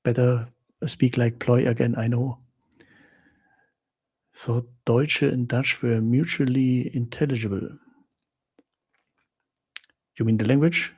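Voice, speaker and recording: A German man with a German accent rambles through a casual live chat. He talks slowly and haltingly, with many restarts. His voice is gravelly and a little husky. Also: medium pitch, fairly even intonation, a little mellow. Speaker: livestream host answering viewer questions.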